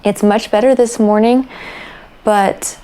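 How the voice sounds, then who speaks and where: A young woman hosting a knitting podcast, chatting casually and relaxed, her voice raspy after a night of coughing. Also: medium pitch, slow pace, low-quality recording. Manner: chatty and relaxed, with fillers and small laughs. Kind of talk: casual chat about crafts.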